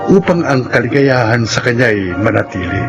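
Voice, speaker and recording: A man's voice: medium pitch, animated, moderate pace. A man reading a scripted closing message and credits as a radio drama announcer. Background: music.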